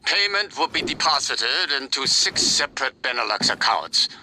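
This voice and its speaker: A man's voice: medium pitch, big pitch swings, moderate pace, pushed and bright, a little hiss or hum, poor audio. A man playing a cold, commanding corporate chairman in a video game, speaking formally and with clipped authority. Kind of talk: dramatic video game acting.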